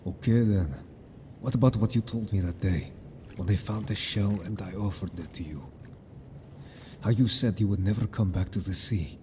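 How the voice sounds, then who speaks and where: Man playing a low-voiced, commanding, protective merman. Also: moderate pace, dark tone, slight background noise, lightly acted. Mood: probing. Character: protective, commanding merman, stern but caring friend. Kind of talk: scripted audio drama acting.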